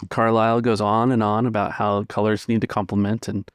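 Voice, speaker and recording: A man's voice: on the low side, little pitch movement, fairly quick, smooth, no echo. A man narrating an improvised tabletop game as game master.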